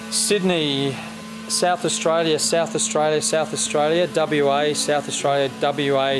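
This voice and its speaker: A man talking casually in an interview as a local resident, listing things with pauses. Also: medium pitch.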